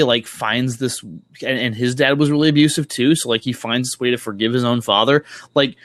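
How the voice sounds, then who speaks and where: A man chatting casually as a podcast guest, halting and thinking aloud, correcting himself and swearing offhandedly. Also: medium pitch, dry recording. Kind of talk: casual chat about comics.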